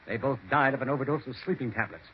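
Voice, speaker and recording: An actor playing a scornful, relentless amateur sleuth in a 1940s radio mystery. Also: male voice, medium pitch, fast, close to an everyday voice. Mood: accusing. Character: sharp, accusing amateur sleuth nephew exposing a killer. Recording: dull, noisy 1940s radio recording.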